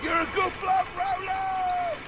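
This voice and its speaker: An actor playing a loyal, working-class prisoner in a video game, blunt and exclaiming, with a Cockney accent. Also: male voice, very high voice, sing-song, takes it slowly, fairly bright tone, slight background noise, exaggerated voice. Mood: blunt, moved. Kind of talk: video game cutscene acting.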